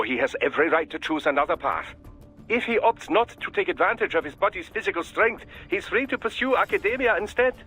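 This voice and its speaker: An actor playing a genial, fussy, erudite male scientist in a video game, speaking in a formal, wordy, over-polite and precise way with a husky voice. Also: on the high side, bright, forceful tone, heavily acted.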